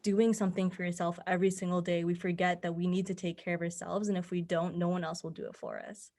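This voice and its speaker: Young woman podcast guest giving earnest advice, kinda low voice, pretty flat melody. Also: fast talker. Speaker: podcast guest, mental health advocate. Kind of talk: conversational interview answers, giving advice.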